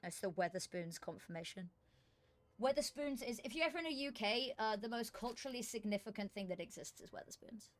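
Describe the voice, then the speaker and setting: A woman's voice: on the high side, lively intonation, fairly quick. A woman streaming esports, chatting casually while fixing her setup, her voice worn from long hours of streaming and often trailing into muttering to herself. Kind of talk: casual live-stream chat while setting up. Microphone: desk microphone.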